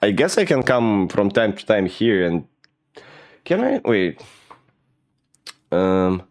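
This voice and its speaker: A man's voice: lowish voice, very animated, slow, darkish tone. A man streaming from home in casual chat, rambling and thinking aloud as he sets up his gear. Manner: rambling, thinking aloud, asking himself questions. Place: home, moving between PC and kitchen. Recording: distant, muffled home stream mic.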